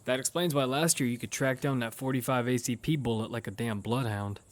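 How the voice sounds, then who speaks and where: A man's voice: mid-range voice, steady tone, fairly quick, no echo, close to an everyday voice. An actor playing a wary young man who narrates and speaks in a battle-survival audio drama. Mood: casual, curious. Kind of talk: first-person audio drama narration and dialogue. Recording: music behind the voice.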